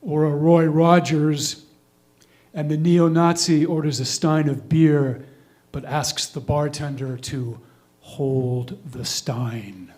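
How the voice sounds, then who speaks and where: Middle aged guy doing deadpan comedy monologue, really slow, kinda high voice. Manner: slow, deliberate, deadpan delivery. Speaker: comic monologue performer. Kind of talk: scripted comic monologue, ornate wording.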